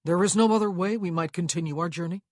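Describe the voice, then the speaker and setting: A woman playing a curt, husky gentleman traveller. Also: on the low side, fast talker, no room sound, clean studio sound, lightly acted. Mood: insistent. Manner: curt, insistent. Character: impatient, frustrated gentleman traveller with short temper. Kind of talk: acted audiobook dialogue.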